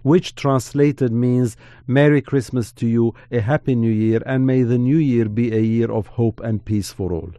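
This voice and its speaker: A man whose first language is Armenian, speaking as a podcast commentator in an earnest, measured, preacherly cadence. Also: medium pitch, mellow, no echo, studio quality. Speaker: podcast commentator on Middle East affairs. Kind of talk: podcast discussion.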